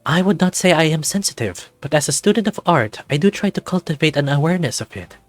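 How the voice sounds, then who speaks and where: A man's voice: fairly high pitch, lively intonation, faint music behind, pitched above the performer's own voice, close to an everyday voice. Man playing a refined, dreamy goat dragon painter. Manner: flowery, refined, unhurried.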